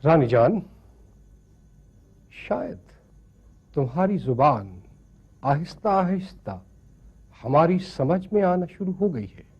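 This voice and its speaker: A pompous colonial sahib, high and husky. He declaims slowly and swoops about, imperious and quick to take offence, in theatrical television drama style. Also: sing-song, dull audio, exaggerated voice. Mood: condescending. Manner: haughty, slow, declamatory. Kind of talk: theatrical screen drama acting.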